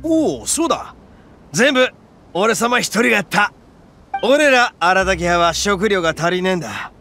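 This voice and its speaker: A swaggering horned oni gang boss. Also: male voice, high voice, animated, a little slow, sharp tone, exaggerated voice. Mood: cocky. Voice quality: rough, swaggering, calls himself grandly. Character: brash boastful horned oni gang boss, male adult. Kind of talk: Japanese game voice acting. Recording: game audio with faint music underneath.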